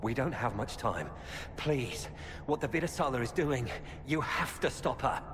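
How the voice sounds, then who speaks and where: An actor playing an earnest, disillusioned former knight in a video game, speaking gravely and urgently. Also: male voice, mid-range voice, expressive, normal speed, a little hiss or hum, lightly acted. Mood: urgent, pleading. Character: earnest, disillusioned male ex-Templar knight turned informant. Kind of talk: dramatic video game dialogue. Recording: cavernous reverb, loud game ambience behind.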